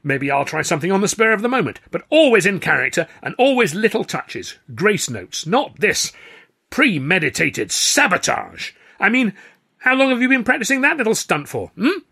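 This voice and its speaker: Man acting a pompous, high-pitched, indignant stage star. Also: big pitch swings, moderate pace, vocal fry, sharp tone, husky, full character voice. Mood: furious, spluttering. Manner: blustering, emphatic, self-important. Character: pompous, indignant male comedy star, spluttering and vain.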